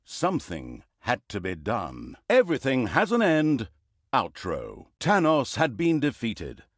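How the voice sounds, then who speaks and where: A man's voice: mid-range voice, wildly swooping pitch, unhurried, no room sound, studio quality. A man playing a pompous, dryly mocking storyteller who narrates an evil overlord's deeds in a video game, with theatrical emphasis. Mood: grandiose, dry.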